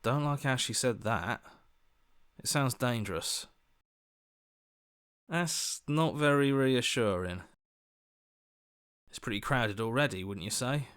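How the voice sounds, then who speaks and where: A man's voice: medium pitch, expressive, slow pace, pauses often, a bit bright, clear, resonant tone. A man playing an earnest, hopeful hero's inner voice in a video game, questioning and pleading as he talks. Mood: wary.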